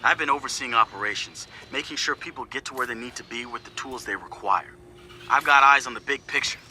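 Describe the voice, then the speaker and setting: An actor playing a confident young hacker activist, recording a casual, slangy, wry audio log for a video game. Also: male voice, medium pitch, fairly quick, sharp tone, a little hiss or hum. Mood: determined. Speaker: hacker group leader narrating audio logs.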